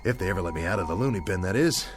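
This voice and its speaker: An actor with an American accent playing a dazed, guilt-ridden young bomber captain in a radio drama, talking to himself in broken, searching phrases. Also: male voice, low-pitched, lively intonation, rapid-fire, over music. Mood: resigned, wry.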